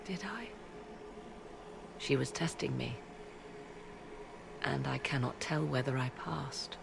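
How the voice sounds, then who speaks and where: A woman voicing an aloof, proud witch who speaks in a cool, measured, dryly ironic way. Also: rumbling low, gravelly, background noise, full character voice.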